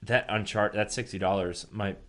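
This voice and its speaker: Low-pitched man chatting on a gaming podcast. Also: fairly even intonation, normal speed. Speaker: podcast host. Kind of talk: casual gaming podcast chat.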